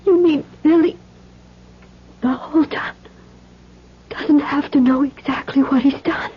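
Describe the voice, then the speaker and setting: An anxious mother, speaks in a high voice. She has the manner of old radio drama. The recording is dull and lo-fi. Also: measured pace. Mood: anxious, hesitant. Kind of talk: 1970s radio drama acting.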